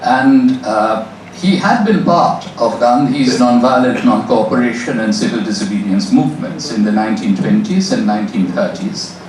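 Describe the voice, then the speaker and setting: A male professor giving a measured, emphatic academic answer to an audience in a lecture room. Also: medium pitch, lively intonation, a little mellow. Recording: echoey hall, distant mic, faint noise.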